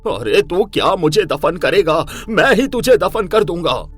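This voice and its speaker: A man playing a combative elderly village farmer in an animated story, quarrelling and threatening. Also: sits high, a little slow, breathy edge, soft background music, full character voice. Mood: angry, threatening. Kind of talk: animated moral story voice acting.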